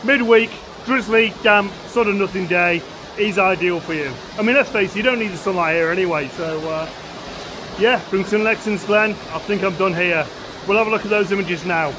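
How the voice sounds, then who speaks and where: A man's voice: high-pitched, moderate pace, noisy background. Chatty man vlogging in the woods. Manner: chatty, rambling with many fillers and restarts. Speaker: landscape photographer. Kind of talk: casual vlog narration. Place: outdoors in a wooded glen by a waterfall. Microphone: camera at arm's length. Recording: with music playing underneath.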